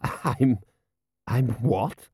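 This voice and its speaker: A man's voice: mid-range voice, lively intonation, extremely slow, darkish tone, breathy edge, no room sound, studio quality, exaggerated voice. Man playing a haughty, imperious prince. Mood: incredulous. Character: haughty, weary male prince, used to obedience.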